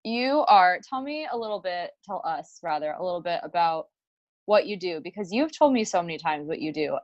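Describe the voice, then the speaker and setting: A woman's voice: lowish voice, no room sound. A woman hosting a podcast, asking casual questions, often laughing and rephrasing as she goes. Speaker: podcast host. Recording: dull, muffled sound, dry.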